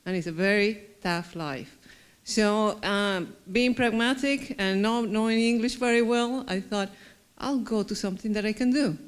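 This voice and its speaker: Smooth-voiced woman with Chilean accent. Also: mid-range voice, a little slow. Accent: Chilean Spanish. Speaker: panelist. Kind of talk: panel self-introduction.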